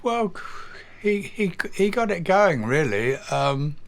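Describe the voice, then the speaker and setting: An older English man, a guest drummer, telling stories in a casual interview, halting with many fillers and repeated words. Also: high-pitched, big pitch swings, vocal fry. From England.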